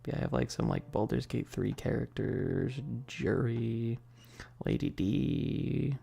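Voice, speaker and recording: A livestream host chatting casually and informally in a soft, close whispery ASMR delivery into a binaural mic, rambling with teasing asides to chat. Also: medium pitch, unhurried, gravelly, husky. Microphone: close binaural microphone. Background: tapping and keyboard clicking.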